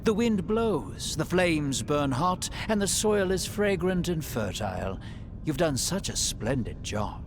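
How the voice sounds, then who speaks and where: Slow, lilting, mocking trickster. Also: male voice, a little high, very animated, noisy background, full character voice. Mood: mock praise, teasing. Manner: mock-courteous, theatrical, teasing. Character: mocking, flamboyant male trickster greeting warriors. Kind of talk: theatrical video game cutscene acting.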